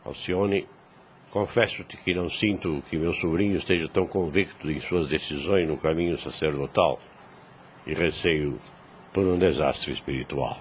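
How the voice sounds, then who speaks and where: A man playing a grave, kindly older priest and uncle in an audio drama, speaking in a measured, formal, fatherly way. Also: a bass voice. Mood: confiding, worried. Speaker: voice actor. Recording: clear background noise.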